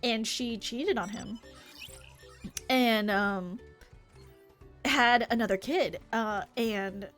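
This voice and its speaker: American woman, high voice, casual live stream chat, animated, gossipy, faint music underneath. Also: drawn-out words, pauses often. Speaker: game streamer. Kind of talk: live stream chat while reading game dialogue aloud.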